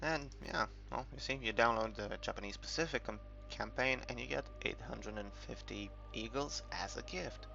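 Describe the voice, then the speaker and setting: A man's voice: mid-range voice, lively intonation, normal speed, vocal fry, hardly any pauses, bright, forceful tone. A man from the UK giving a casual, unscripted game walkthrough, rambling and hesitant as he thinks aloud. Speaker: gamer making a game tutorial. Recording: muffled, dull recording with background music.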